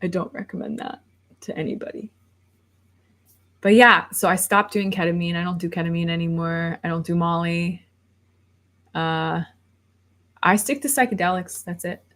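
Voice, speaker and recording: A woman's voice: on the low side, normal speed, pauses often, fairly bright tone. A woman in her thirties, rambling casually on a livestream and reading viewer comments aloud before answering them. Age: 30s. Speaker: livestream host.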